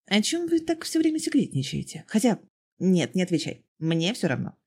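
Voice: medium pitch, wildly swooping pitch, moderate pace, creaky, a little mellow, breathy edge, exaggerated voice. Grumpy, sarcastic man, read by a narrator. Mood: exasperated. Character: grumpy, aloof, sarcastic man, exasperated guardian.

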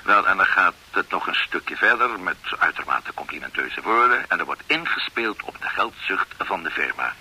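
A man's voice: low-pitched, sing-song, normal speed, few pauses, pushed and bright, a little hiss or hum, heavily acted, poor audio. An actor playing a genial, sly investigator who explains his schemes in a confiding, slightly theatrical way in a radio drama. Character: sly middle-aged private schemer, genial.

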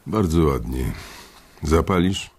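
An actor playing an older, domineering bank boss who is blunt, curt and coarse in a radio drama. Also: male voice, a bass voice, expressive, slow pace, vocal fry, darkish tone, husky, full character voice. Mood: probing. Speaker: head of a bank trading floor.

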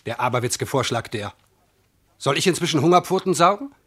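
An actor playing a gruff, stingy, bossy servant in a German radio comedy, blunt and scolding. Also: male voice, medium pitch, lively intonation, brisk, a bit bright. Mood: stubborn, dismissive. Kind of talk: German radio play acting of classic verse comedy.

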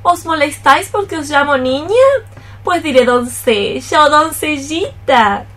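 A woman's voice: sits high, fairly bright tone. An actress playing a scolding, scheming old mother cockroach in a theatrical, exclamatory radio play style. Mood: teasing. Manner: exclamatory, theatrical, drawn-out phrases. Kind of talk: radio theatre acting in archaic poetic Spanish.

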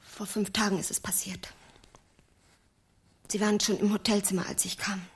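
An actress playing a traumatized, bitter woman confiding in an old friend in a German audio drama, breathy and halting, often slipping toward a whisper. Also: mid-range voice, level tone. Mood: wounded, hushed.

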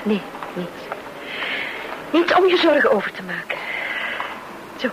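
An actress playing a confident young businesswoman in a radio play, composed and lightly teasing, with a slightly husky voice. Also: on the high side, very animated, measured pace, background noise. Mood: guarded. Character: Hilda, confident young businesswoman falling in love. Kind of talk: Dutch-language radio drama acting. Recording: old radio play recording.

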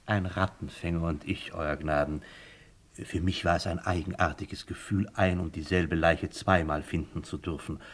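An actor playing an imperturbable British butler in a vintage German radio crime play, formal, deferential and deadpan. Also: male voice, sits low, moderate pace, husky. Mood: dutiful deadpan.

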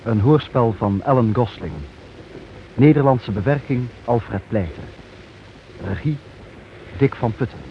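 A man's voice: mid-range voice, a little slow, long pauses. A man announcing the credits of a radio play in a scripted style. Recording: muffled, noisy old radio broadcast recording.

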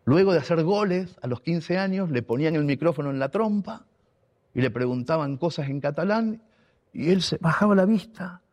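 A man's voice: fairly high pitch, lively intonation, moderate pace, darkish tone. A man from Argentina with an Argentine accent, telling a personal story in an emotional, emphatic way, repeating words for weight. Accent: Argentine Spanish. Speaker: radio contributor and writer.

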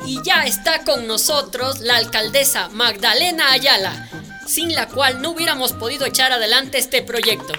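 An actress playing an upbeat community radio presenter, welcoming and announcing in a radio drama. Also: female voice, high-pitched, normal speed, natural voice. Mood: upbeat presenting. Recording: music behind the voice.

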